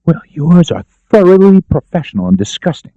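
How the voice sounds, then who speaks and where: Male reader as defiant young woman, scornful, sharp-tongued, pitched up from his own voice, audiobook dialogue. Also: sing-song, normal speed, no echo, highs cut off. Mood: indignant. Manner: snappish, scornful.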